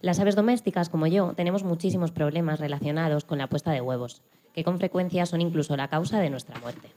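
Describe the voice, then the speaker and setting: A woman's voice: low-pitched, fairly quick, dark tone, soft background music. A woman reading a first-person account aloud from a script.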